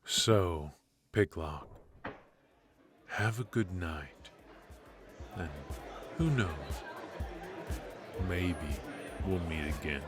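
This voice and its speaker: A man playing a suave, ruthless mafia boss in a noir roleplay audio drama, taunting and teasing with menace and drawn-out pauses. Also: deep voice, music in the background, crowd noise. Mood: mocking courtesy. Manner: unhurried, taunting, drawling with pauses and soft chuckles.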